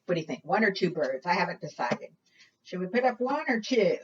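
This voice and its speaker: Animated woman leading preschool storytime, slightly muffled. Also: fairly low pitch, fairly quick, slight background noise. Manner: coaxing questions, exaggerated gasps and singsong refrains. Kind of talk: interactive storytime talk to small children. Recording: muffled, distant microphone.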